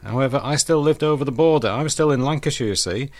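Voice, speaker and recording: A man's voice: mid-range voice, fast talker. An older man, presenting a radio show as DJ and chatting in a rambling, reminiscing way. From northwest England. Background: music under the talk.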